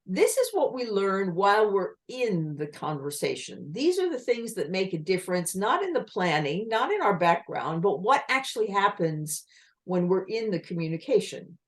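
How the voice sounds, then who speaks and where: Woman teaching a communication talk over a video call, pitch bouncing all over, throws lots of questions at the audience. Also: moderate pace. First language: English. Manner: lively, explanatory, asks rhetorical questions. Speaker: communication trainer giving an online talk. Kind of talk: online presentation with slides.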